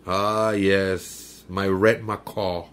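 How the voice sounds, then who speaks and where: A man playing a slow, sinister mystic villain. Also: sits low, little pitch movement, a bit bright, clean tone, heavily acted. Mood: suave. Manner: slow, courtly then threatening. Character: sinister male mystic, smooth and menacing. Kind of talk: 1930s radio drama acting.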